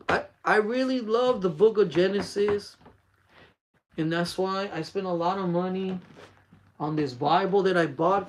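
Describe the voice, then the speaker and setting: A man in his forties hosting a casual faith talk for his channel, speaking in an earnest, repetitive, urging way. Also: sits high. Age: 40s. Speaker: channel host.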